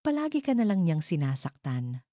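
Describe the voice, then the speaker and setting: A woman voicing a devoted, gentle young man in an audio love drama, earnest and concerned for his best friend. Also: low voice, big pitch swings, normal speed, no echo, studio quality. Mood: worried, gentle.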